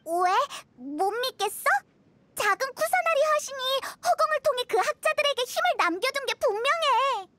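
Tiny chirpy fairy girl, very high and bouncy, game dub. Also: big pitch swings, bright, forceful tone, a little hiss or hum, exaggerated voice. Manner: bouncy, quick, talkative. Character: tiny floating female fairy companion, chirpy and excitable.

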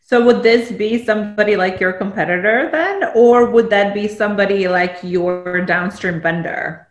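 A woman's voice: medium pitch, moderate pace. A woman asking questions conversationally as a co-host. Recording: muffled, echoey video call.

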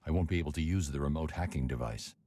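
Stoic masked hero, bottom-of-the-range raspy voice, commanding, for a dark action game. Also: male voice, rumbling low, fast. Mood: grim, controlled. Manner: terse, commanding, controlled. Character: grim, stoic adult male masked vigilante hero.

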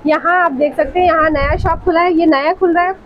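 A woman's voice: very high voice, steady tone, fairly quick, lo-fi sound. A woman hosting a casual shopping vlog, enthusiastically praising and repeating what the seller says. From Pakistan. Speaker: vlog host. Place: indoor fabric shop. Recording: clear background shop noise.

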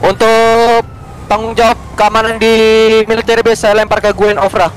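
A man's voice: sits high, level tone, moderate pace, faint music behind, low-quality recording. A man with an Indonesian accent making officer radio calls in online game roleplay. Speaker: roleplay gamer playing an officer. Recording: clear game engine noise. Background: game vehicle sounds.

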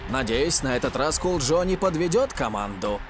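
A man voicing an expressive, opinionated football commentator in an animated cartoon, with emphatic delivery. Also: medium pitch, normal speed, music in the background, natural voice. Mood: critical.

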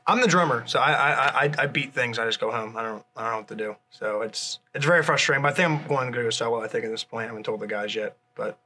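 A man's voice: mid-range voice, fast, hardly any pauses, pushed and bright, slight background noise. A young man rambling hesitantly with lots of fillers as a drummer in a comedy sketch. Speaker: drummer in a mock band interview.

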